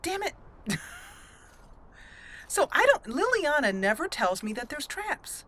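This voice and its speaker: A woman's voice: high voice, sing-song, slow pace, fairly bright tone. A young woman casually commenting on her game as she plays, chatty and giggly, rambling with fillers. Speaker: let's play host.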